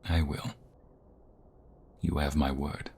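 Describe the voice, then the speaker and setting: A man acting a protective reformed mobster and devoted fiancé in an ASMR roleplay, earnest, measured and intimate. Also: extremely low pitch, flat delivery, a little mellow, husky, heavily acted. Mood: earnest, resolute.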